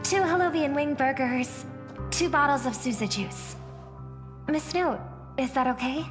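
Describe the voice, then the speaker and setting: Game heroine: polite, gracious young lady, high voice, long reverb. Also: unhurried. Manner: courteous, gentle phrasing. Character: gracious, polite young female star singer. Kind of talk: scripted video game dialogue. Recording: reverberant game audio with music.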